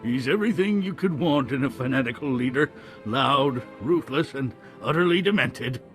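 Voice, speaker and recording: A wry man in command during a sci-fi game crisis, voiced by an actor. His mid-pitched delivery swings widely, dry and firm, over faint music. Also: animated, normal speed, fairly bright tone, natural voice. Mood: sardonic. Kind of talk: video game cutscene acting.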